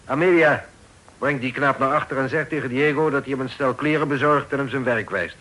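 A man's voice: medium pitch, brisk, fairly bright tone, close to an everyday voice, poor audio. A man acting a curt, authoritative pirate chief with formal speech in an old radio play. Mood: commanding. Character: commanding, self-assured pirate chief, a father.

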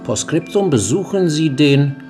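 An actor in a German radio drama playing an imperious, eccentric English gentleman collector with a pompous, commanding manner. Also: on the high side, animated, a little slow, music in the background. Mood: pronouncing. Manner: commanding, grandiloquent.

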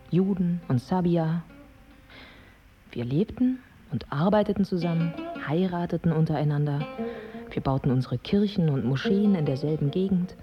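An actress playing a reflective, wry young Iraqi woman, narrating a radio drama in a measured, intimate way. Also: fairly low pitch, lo-fi sound, close to an everyday voice. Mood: wistful.

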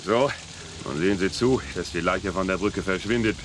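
A man's voice: sits low, normal speed, noisy background, lightly acted. An actor playing an officious but gullible, jovial bridge policeman in a crime radio play, bossy and pedantic yet chummy. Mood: brisk, dry.

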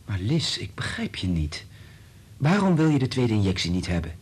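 An actor playing a gentle, earnest, considerate researcher in a Dutch radio drama, with a husky voice. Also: male voice, fairly low pitch, very animated, moderate pace. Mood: puzzled, concerned.

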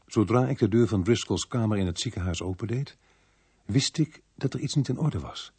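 A man's voice: fairly low pitch, animated, normal speed, darkish tone, breathy edge, heavily acted. An actor playing a weary, compassionate male police inspector in a radio drama, both speaking and narrating in the first person. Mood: sombre narration. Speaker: actor in the lead and narrating role.

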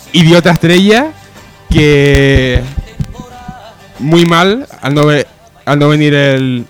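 Male radio host, higher voice, casual comic banter, halting and bossy, faint music behind. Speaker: host of an amateur comedy radio show.

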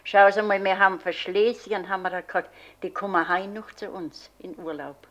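A woman's voice: mid-range voice, fairly even intonation, brisk, a bit bright, highs cut off. A woman chatting in an informal interview, rambling in colloquial dialect. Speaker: villager being interviewed. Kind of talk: spontaneous interview chat.

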